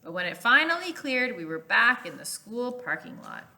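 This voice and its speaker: Very bright-voiced woman reading to children. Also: a little high, very animated, normal speed. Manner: clear emphasis, asking listeners questions. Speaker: teacher reading aloud to children. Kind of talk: children's storybook read-aloud with explanatory asides.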